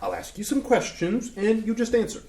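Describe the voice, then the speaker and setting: An actor playing an upbeat, flattering young interviewer who coaxes his subject, in a staged reading. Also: high-pitched, moderate pace, a little hiss or hum. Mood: coaxing. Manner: coaxing, upbeat, slangy. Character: trendy young male cookbook interviewer, eager and flattering. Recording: echoey theater room.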